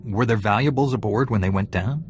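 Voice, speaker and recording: A man's voice: lowish voice, fairly quick, slight background noise, natural voice. A man playing a serious, blunt warrior knight in a space-saga video game, curt and dry. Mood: stern, dismissive. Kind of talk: video game dialogue acting.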